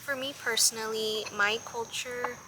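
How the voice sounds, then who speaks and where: A woman's voice: fairly high pitch, fairly even intonation, a little slow, background noise. A Colombian-born woman living in Miami, hosting a podcast and speaking reflectively, with hesitant restarts. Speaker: podcast host. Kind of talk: casual interview conversation.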